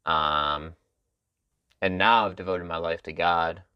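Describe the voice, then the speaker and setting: A man hosting a podcast who asks thoughtful questions in a halting way, full of fillers and restarts. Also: deep voice, fairly even intonation, clean tone, dry recording, dull audio. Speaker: podcast host. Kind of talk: interview conversation.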